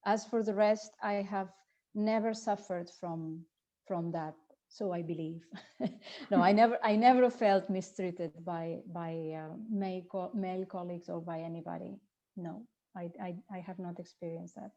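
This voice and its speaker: Hesitant woman with a mellow voice. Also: on the low side, fairly even intonation, normal speed. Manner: modest, hesitant, repeats words. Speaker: panelist. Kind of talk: panel discussion.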